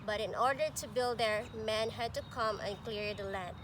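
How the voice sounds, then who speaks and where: A woman reading a picture book aloud expressively like a pre-K teacher, pausing to address and question young listeners. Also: medium pitch. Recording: clearly noisy amateur recording.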